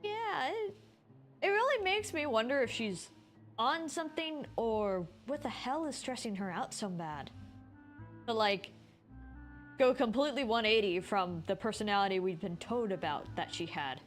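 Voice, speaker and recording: Female game streamer: fairly high voice, casual commentary, faint music behind. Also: expressive, moderate pace. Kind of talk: casual playthrough commentary, reading game text aloud.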